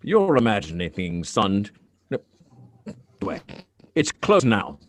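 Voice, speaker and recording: A man's voice: lowish voice, wildly swooping pitch, slow pace, slight background noise, exaggerated voice. A man reading the part of a puzzled, plain-spoken middle-aged policeman and father in a radio play, in a folksy manner. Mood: sceptical. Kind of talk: live radio drama script reading.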